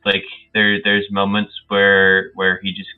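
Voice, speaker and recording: A man's voice: lowish voice, completely flat delivery, slow pace, clean tone. A young man playing a tabletop game, chatting casually and describing what his character does. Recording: dull boxy audio, music behind.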